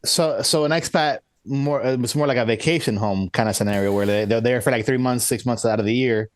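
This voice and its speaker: A man from the United States, a podcast co-host, talking in a relaxed, thoughtful way. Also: medium pitch, a little fast, dry recording. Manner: laid-back, thoughtful, laughs easily. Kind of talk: casual podcast chat.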